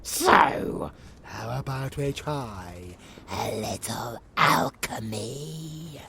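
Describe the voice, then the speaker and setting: A man playing a sadistic, gleeful vampire abomination in an audio roleplay, with a growling, gravelly voice and a theatrical, taunting manner. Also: mid-range voice, expressive, pushed and bright, a little hiss or hum, exaggerated voice. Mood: gleeful, sinister. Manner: theatrical, menacing, taunting, drawn-out phrasing. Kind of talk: monster ASMR roleplay acting.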